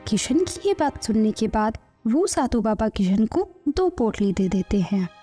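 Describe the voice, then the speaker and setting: Mellow, higher-pitched woman narrating a story. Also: big pitch swings, unhurried. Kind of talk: scripted kids' story narration. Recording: music under the voice.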